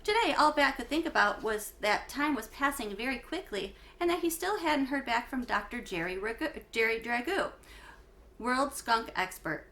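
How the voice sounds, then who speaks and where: A woman with a very bright, forward voice reads a children's story aloud in a teacherly way. Her pitch sits a little high, and she phrases expressively, leaning on the important words. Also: expressive, normal speed. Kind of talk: read-aloud storytelling for schoolchildren.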